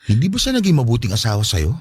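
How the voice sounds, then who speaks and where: A man playing a gentle, forgiving, insecure boyfriend in an audio love-story drama, soft-spoken and placating, with a Filipino accent. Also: mid-range voice, huge pitch swings, rapid-fire, husky, no echo, clean studio sound. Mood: gentle, unsure.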